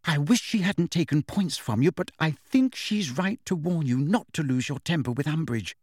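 Male reader voicing a level-headed teen girl, measured, slightly raised pitch. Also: animated, darkish tone, dry recording, studio quality. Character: sensible teenage schoolgirl.

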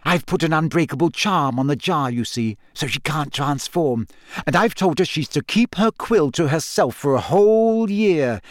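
A man's voice: on the high side, lively intonation, moderate pace, no room sound, clean studio sound, voice pushed up, close to an everyday voice. A man voicing an earnest, self-assured teenage schoolgirl in an audiobook. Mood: serene, satisfied. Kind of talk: audiobook character acting.

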